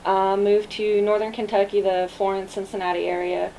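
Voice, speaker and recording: A woman's voice: medium pitch, level tone, normal speed, dark tone, poor audio. Young woman, from Germany, level intonation, conversational police officer guest, audible background noise. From Germany, moved to US as child. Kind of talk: conversational interview answers.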